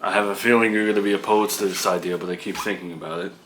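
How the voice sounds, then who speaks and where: Man acting deep-voiced gentle, devoted partner. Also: fairly even intonation, racing, slight background noise. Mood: coaxing, reassuring. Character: gentle, reassuring, devoted male partner of new mother.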